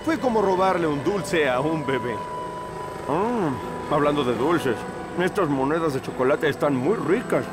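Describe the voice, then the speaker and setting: An actor playing a cocky, suave young hero in a cartoon, breezy, teasing and self-assured. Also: male voice, a little high, lively intonation, unhurried, loud music behind the voice. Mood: smug teasing. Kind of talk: animated cartoon dubbing.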